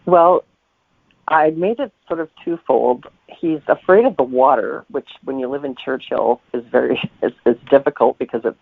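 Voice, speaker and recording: Creaky-voiced man, dry lo-fi audio. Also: medium pitch, normal speed, dry recording. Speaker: guest novelist. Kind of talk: conversational podcast interview. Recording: dry, slightly dull lo-fi audio.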